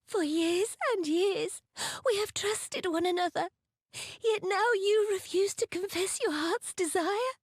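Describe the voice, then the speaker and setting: A woman's voice: very high voice, measured pace, no echo, studio quality. A woman playing a small, resolute young sultana in a fantasy game, regal and tender, with formal archaic speech. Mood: hurt, insistent. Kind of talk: dramatic fantasy game voice acting.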